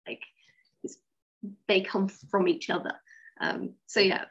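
Woman writer chatting as a guest, husky voice, mid pitch, bit muffled lo-fi audio. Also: steady tone, takes it slowly. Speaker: interview guest, science fiction writer. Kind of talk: casual interview chat.

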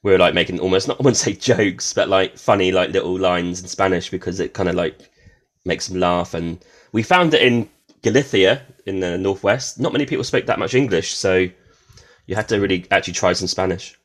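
A man with a fairly low voice hosts a podcast interview. He sounds relaxed, laughs easily and thinks aloud while framing his questions. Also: brisk. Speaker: podcast host. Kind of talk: casual interview.

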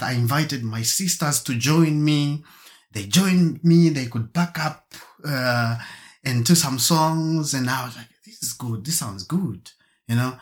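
A man who grew up in Germany, talking as a musician guest in an interview, halting with fillers and restarts and laughing now and then. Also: mid-range voice. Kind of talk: casual storytelling interview.

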